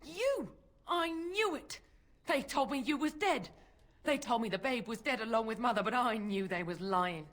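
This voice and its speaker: A woman's voice: fairly high pitch, lively intonation, moderate pace, a bit bright. An actress playing a bitter, suspicious working-class mother in a video game, accusing and scornful in folksy, ungrammatical speech. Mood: angry, bitter.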